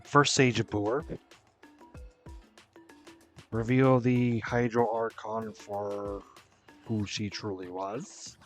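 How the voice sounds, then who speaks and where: A man's voice: fairly low pitch, steady tone, drawn-out words, long pauses, soft background music. A man giving casual commentary as he plays a game, sometimes reading dialogue aloud. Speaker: gamer, playthrough host.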